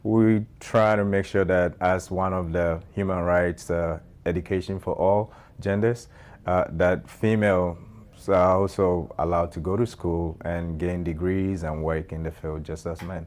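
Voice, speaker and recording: A Ghanaian man answering interview questions in a measured way, with many fillers and restarts. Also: low voice, steady tone, darkish tone. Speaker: guest, nonprofit founder.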